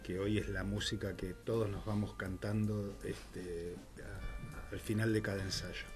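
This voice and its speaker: An older man, a guest in a radio studio who speaks enthusiastically and fills pauses with "este". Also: on the low side, flat delivery, over music. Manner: enthusiastic, many "este" fillers. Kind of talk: casual radio interview. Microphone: studio mic with headphones.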